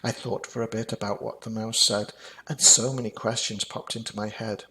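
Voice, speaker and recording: An older man reads a children's story in rhyme. His voice is creaky with a bright edge, and he tells it expressively, with a dramatic touch. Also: fairly low pitch, flat delivery, moderate pace, rough recording. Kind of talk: scripted rhyming children's story narration.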